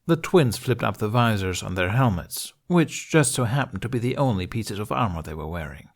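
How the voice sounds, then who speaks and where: A man's voice: fairly low pitch, lively intonation, normal speed, vocal fry. A man reading a scripted story aloud as its narrator. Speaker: amateur story reader.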